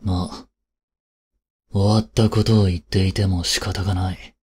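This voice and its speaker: An actor playing a cold, haughty young prince in an audio drama, with a husky, breathy voice and a curt, imperious manner. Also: male voice, low voice, level tone, a little slow, a little mellow. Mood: stern, scolding. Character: cold, haughty young prince scolding and fretting over his maid. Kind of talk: Japanese audio drama acting.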